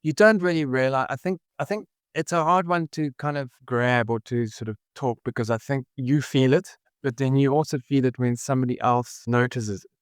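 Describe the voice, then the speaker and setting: A man's voice: medium pitch, moderate pace, hardly any pauses, smooth, dry recording, studio quality. A South African man with a South African accent, talking reflectively as a podcast guest and often searching for his words. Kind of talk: reflective conversational interview.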